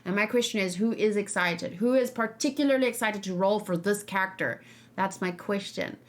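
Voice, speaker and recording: Woman, streamer, medium pitch, casual chat, questioning delivery, emphatic repetition. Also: normal speed. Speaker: streamer hosting a reaction and discussion. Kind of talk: casual livestream chat, answering viewers' questions.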